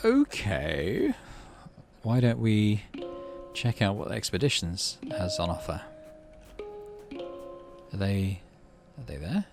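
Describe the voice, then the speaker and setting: A man hosting a gaming channel, reading a visual novel aloud and making relaxed, musing asides. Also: fairly low pitch, slow, vocal fry, long pauses, music in the background.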